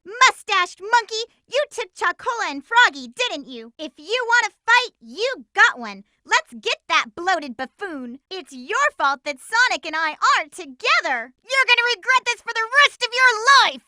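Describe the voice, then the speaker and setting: Game role: young lovestruck hedgehog girl. Spirited and emphatic, lively swooping pitch, close dry sound. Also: normal speed, hardly any pauses, no room sound, full character voice. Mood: angry, defiant. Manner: perky, emphatic, exclamatory delivery. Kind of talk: video game character voice lines.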